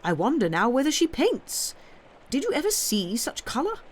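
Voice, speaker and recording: A woman's voice: high-pitched, huge pitch swings, moderate pace, breathy edge, pitched above the performer's own voice, heavily acted. A Victorian bishop's wife from a novel, put on by a woman reading aloud. She is grim at heart yet ingratiating, making effusive, polite small talk with a catty edge. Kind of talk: audiobook character voice.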